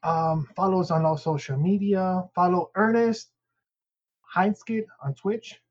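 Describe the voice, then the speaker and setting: A man co-hosts a podcast, English not his first language, wandering through plugs and updates with plenty of "um" and restarts. His voice sits a bit high, and the recording sounds dull and lo-fi. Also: steady tone, measured pace. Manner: rambling, many fillers and restarts. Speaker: podcast co-host. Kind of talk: casual podcast chat.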